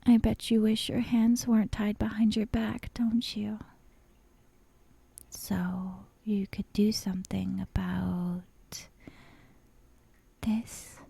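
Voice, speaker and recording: A woman voicing a possessive, dominant yandere captor in an ASMR roleplay, breathy and often whispering, sweetly menacing and coy, close to the microphone. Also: mid-range voice, little pitch movement, warm tone, dry recording, full character voice. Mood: teasing. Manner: slow, intimate, teasing, coy.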